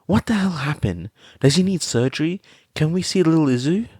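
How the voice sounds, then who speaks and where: A man voicing a brash, foul-mouthed blonde mother who yells and curses in a rage, raised high above his usual voice, in a dry recording. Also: expressive, brisk, husky, dry recording. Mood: panicked. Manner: loud cursing rant.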